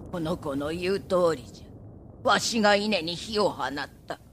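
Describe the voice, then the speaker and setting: A woman's voice: fairly low pitch, moderate pace, a bit bright, a little hiss or hum, lightly acted. A woman playing a stern old grandfather and village headman in a radio drama, giving blunt, urgent commands. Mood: grave, urgent.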